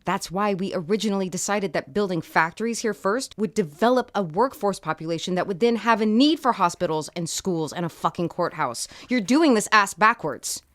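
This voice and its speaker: An actress playing a defiant, sharp-tongued female vice president in an audio drama. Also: medium pitch, animated, a little fast, hardly any pauses, no room sound, clean studio sound, natural voice. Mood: angry.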